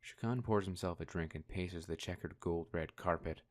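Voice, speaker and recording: A man's voice: low voice, normal speed, heavy background noise. A man reading scripted narration for a radio drama, describing the scenes. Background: faint music.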